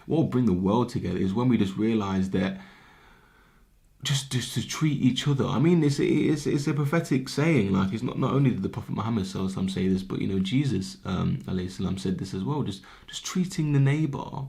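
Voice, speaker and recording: A man's voice: fairly low pitch, lively intonation, fast talker, vocal fry, mellow. A man in his twenties giving an earnest, impassioned rant straight to camera, often repeating words as he thinks. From Britain. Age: 20s. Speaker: vlogger. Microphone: camera mic, talking to camera.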